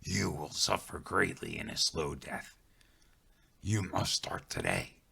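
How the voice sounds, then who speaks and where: A man's voice: rumbling low, very animated, creaky, slightly rough, exaggerated voice. A man whispering as a solemn forest spirit guide. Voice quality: hushed, whispery. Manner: slow, solemn, measured. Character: calm stern male forest guide spirit. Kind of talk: storytelling.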